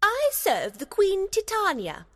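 A proud little fairy attendant in a storybook. Her voice is extremely high and swoops dramatically, haughty and deliberate, ringing with long reverb. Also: wildly swooping pitch, studio quality, full character voice. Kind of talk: audiobook character dialogue.

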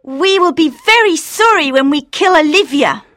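A woman voicing vengeful, unforgiving children in a storybook, with a cold, taunting, deliberate manner. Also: high voice, animated, a bit bright, no room sound, studio quality. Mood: accusing.

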